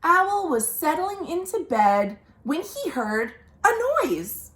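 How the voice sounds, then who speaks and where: A woman reading a picture book aloud for young children as a storytime host, animated, with questions to listeners and dramatic pauses. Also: high voice. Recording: roomy, echoing indoor sound.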